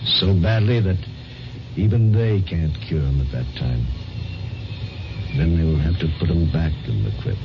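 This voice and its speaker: An actor playing a grave, solemn physician of a far future in a 1950s radio drama, speaking in a measured, deliberate way. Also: male voice, deep voice. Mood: somber, resigned. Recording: dull 1950s radio recording, music behind.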